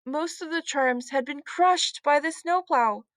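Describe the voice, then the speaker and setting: A woman's voice: high voice, unhurried, a bit bright, no room sound, studio quality. A woman narrating a children's storybook as a read-aloud storyteller.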